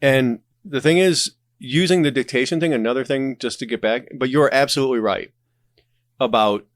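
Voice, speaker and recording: A man's voice: mid-range voice, normal speed, no room sound, studio quality. A man speaking as a podcast guest, explaining his creative process in casual conversation. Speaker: podcast guest, writer and audio drama creator.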